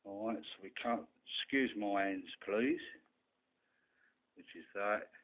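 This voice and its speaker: A man talks through a hands-on hobby demonstration in a fairly low voice. He goes very slowly, in short halting pieces punctuated by little confirming tags. The sound is narrow and muffled, like a phone line. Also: vocal fry. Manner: halting, piecemeal explaining with frequent "right" tags. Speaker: hobbyist presenter. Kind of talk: informal show-and-tell demonstration. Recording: muffled, phone-like narrow-band camera audio.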